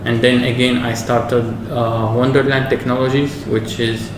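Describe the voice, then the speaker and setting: Man from Syria, round-table host; halting, self-correcting, sits off the mic in an echoey room. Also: medium pitch, little pitch movement, far from the mic, background noise.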